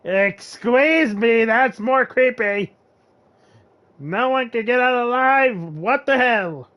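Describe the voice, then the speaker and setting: Male game streamer: high pitch, slow casual delivery, wry and sarcastic. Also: pushed and bright. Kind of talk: casual live stream commentary.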